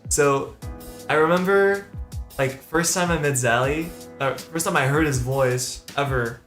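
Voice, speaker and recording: A man chatting casually on a livestream, excitable and slangy, acting out what his friends said as he tells stories. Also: a little high, a little slow. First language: French speaker. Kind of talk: casual chat, animated storytelling. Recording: roomy stream audio with music behind. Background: background music.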